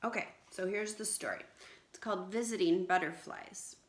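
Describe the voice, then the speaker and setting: A middle-aged American woman, a teacher, reading aloud to young children in a clear, simple, explaining way. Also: medium pitch, moderate pace. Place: small indoor room at home, seated on a bench. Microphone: camera or phone a short distance away. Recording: echoey room.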